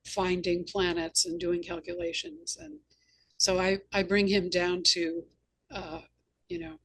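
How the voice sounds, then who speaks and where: A woman's voice: fairly low pitch, big pitch swings, unhurried, vocal fry, slightly rough, no room sound, low-quality recording. A woman hosting a conversational interview, chatting and sharing anecdotes. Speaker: interview host and novelist.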